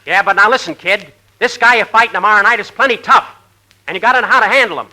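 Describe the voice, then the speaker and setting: Casting: nagging corner man, piercing bright voice, insistent garbled patter, forties radio style. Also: high-pitched, quick pace, rough recording. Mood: cautioning. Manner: insistent, cautioning, nonsense double-talk. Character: fussy, garbled-talking boxing trainer full of advice. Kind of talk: 1940s radio comedy acting.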